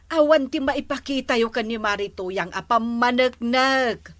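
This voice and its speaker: A woman's voice: fairly high pitch, measured pace, fairly bright tone, no room sound. A woman with a Filipino accent acting a sensible, doubting village official in an Ilocano comedy drama. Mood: cautious.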